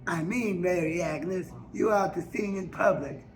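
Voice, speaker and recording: A man voicing a bold, bawdy woman singer in an audiobook, with a frank and forceful manner. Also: sits high, measured pace, soft background music, voice pushed up, rough recording. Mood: encouraging. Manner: forceful, frank, teasing, coarse. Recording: faint background noise.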